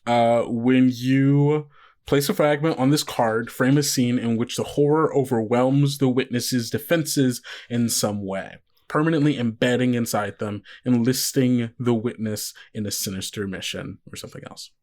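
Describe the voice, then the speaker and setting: A man working as game master, explaining rules and narrating scenes in an informal, halting way. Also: medium pitch, a little mellow. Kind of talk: casual actual-play narration.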